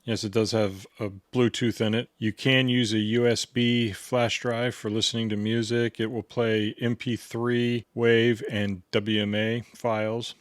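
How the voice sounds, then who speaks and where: A man's voice: fairly low pitch, level tone, a little slow, hardly any pauses, no room sound, clean studio sound. A man giving a casual hands-on product tutorial in a plain, step-by-step instructional way. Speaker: product reviewer demonstrating a gadget.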